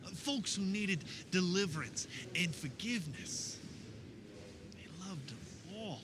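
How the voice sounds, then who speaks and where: Casting: male actor as an earnest fisherman disciple, high voice with wide swings, wistful radio-theater monologue, noisy recording. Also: expressive. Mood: fond, wistful. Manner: conversational, wistful storytelling with wondering pauses. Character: earnest fisherman disciple of Jesus, fondly recounting memories. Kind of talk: dramatic first-person monologue in religious radio theater.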